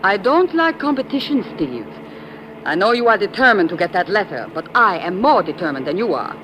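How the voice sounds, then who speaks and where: A woman's voice: medium pitch, big pitch swings, brisk, close to an everyday voice. A woman playing a cool, determined foreign spy, dangerous and seductive, in 1950s radio acting with formal phrasing. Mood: tense, threatening. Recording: noisy old radio broadcast.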